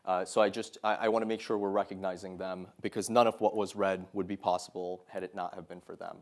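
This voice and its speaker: A man in his thirties speaks to a live audience in a lecture room in a slightly low voice. He is earnest and measured, and he fills his pauses with frequent "uh" and "um". It sounds like a guest talk, not a script. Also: little pitch movement. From Saudi Arabia. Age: 30s. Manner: earnest, measured, many "uh" and "um" fillers. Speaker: guest speaker, Marine officer.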